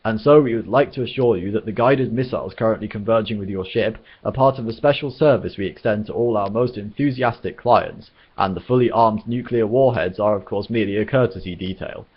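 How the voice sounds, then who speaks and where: A man's voice: fairly low pitch, a little fast, husky, highs cut off. A man voicing an old, formal recorded announcer in a storybook, polite with cold menace.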